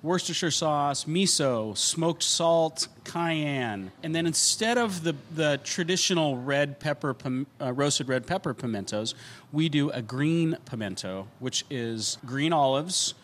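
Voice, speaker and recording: A man's voice: fairly high pitch, expressive, unhurried, a bit bright. Matter-of-fact male chef. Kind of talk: casual interview answers.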